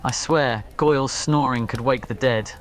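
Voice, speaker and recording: A man's voice: medium pitch, moderate pace, slight background noise, lightly acted. A man voicing an irritable, haughty teenage wizard who mutters and grumbles in an audio story. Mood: irritated, grumbling.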